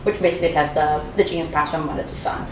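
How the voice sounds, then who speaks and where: A woman's voice: low voice, flat delivery, fast talker, crowd noise. A woman from Saint Vincent and the Grenadines, talking as a guest in a casual group chat. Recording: echoey room, distant mic, clear background noise.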